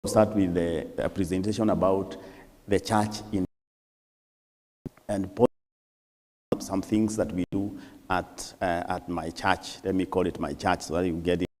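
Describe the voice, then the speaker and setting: Kenyan priest talking slow n careful, very low voice, big church reverb. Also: male voice, fairly even intonation, a little mellow. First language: not English; English is his third language. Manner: measured, deliberate, with pauses and restarts. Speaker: visiting priest, guest speaker. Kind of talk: church talk to a congregation. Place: church hall.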